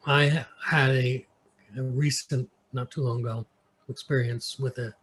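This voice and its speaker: A man from New York with an American accent, an interview guest telling stories casually and haltingly, sometimes switching between English and Mandarin. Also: mid-range voice, little pitch movement, a bit bright, rough recording. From New York, United States. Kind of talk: casual conversational storytelling. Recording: clear background noise.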